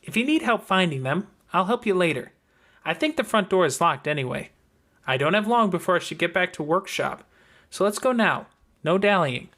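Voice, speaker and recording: A man voicing a composed young woman in an audiobook, a practical mentor giving brisk instructions. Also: high-pitched, big pitch swings, sharp tone, voice pushed up, heavily acted.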